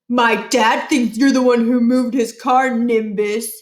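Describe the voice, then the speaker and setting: A woman's voice: fairly high pitch, fairly even intonation, unhurried, natural voice. A woman voicing a pompous, threatening schoolboy bully in a children's book read-aloud. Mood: menacing, boastful. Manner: menacing, self-important, indignant when accused.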